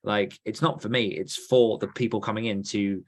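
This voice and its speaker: A man's voice: on the low side, steady tone, moderate pace. A man hosting a podcast, explaining things earnestly in casual conversation. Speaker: podcast host.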